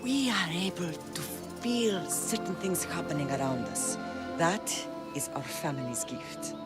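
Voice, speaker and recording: A woman playing a protective, battle-hardened Greek warrior mother in a video game, speaking with measured, earnest weight. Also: lowish voice, sing-song, loud music behind the voice. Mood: solemn, tender. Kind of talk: dramatic video game acting.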